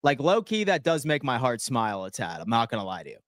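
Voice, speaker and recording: A man's voice: mid-range voice, quick pace, no echo, clean studio sound. Slangy guy reading his chat. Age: 30s. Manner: slangy, animated, reads chat aloud and answers. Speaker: sports livestream host. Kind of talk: casual livestream chat reading viewer comments.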